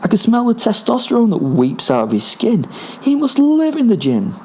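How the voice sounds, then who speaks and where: A man's voice: extremely high pitch, wildly swooping pitch, moderate pace, darkish tone, slight background noise, voice pushed up, heavily acted. Man voicing a raised, goading spirit werewolf. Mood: awed, amused. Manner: sweary, emphatic, goading. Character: grumpy, bloodthirsty male spirit werewolf companion.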